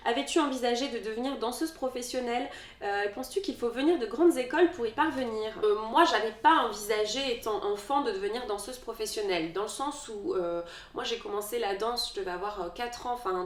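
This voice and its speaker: A woman's voice: fairly high pitch, echoey room, recorded from a distance. A woman in her thirties answering viewer questions in a casual vlog, hesitant and full of fillers. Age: 30s. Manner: hesitant, many fillers and restarts. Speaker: dance vlogger.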